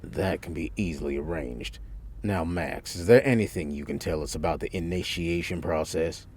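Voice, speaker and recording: A man acting as a smooth-talking undercover operative with a deep, husky voice in an audio drama. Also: normal speed, darkish tone. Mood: easygoing, assured.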